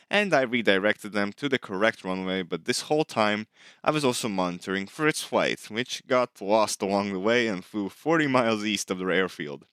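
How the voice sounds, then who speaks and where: Bright low-voiced man narrating a recap. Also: clean tone, dry recording. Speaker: video narrator, flight sim hobbyist. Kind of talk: scripted voice-over narration recapping gameplay.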